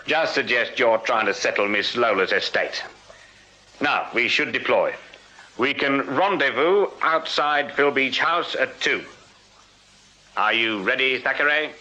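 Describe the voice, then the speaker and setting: An actor playing a shrewd Victorian police sergeant in a radio drama, speaking in a dry, authoritative, deliberate English voice. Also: male voice, medium pitch, bright, forceful tone. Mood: probing, controlled. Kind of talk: radio period drama acting. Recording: slightly dull, hissy radio drama recording.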